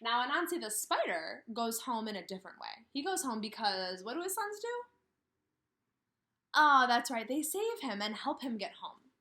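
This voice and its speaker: Animated, bright-voiced woman teaching young children. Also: a little high. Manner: animated, asks questions and pauses for answers, slow emphatic phrasing. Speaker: kindergarten teacher. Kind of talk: read-aloud lesson addressed to young children. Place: small indoor room with brick wall.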